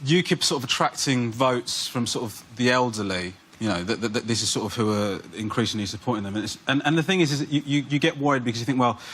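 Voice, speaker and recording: A man comedian telling a rambling, halting stand-up bit full of fillers. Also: mid-range voice, fairly bright tone, a little hiss or hum. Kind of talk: stand-up routine.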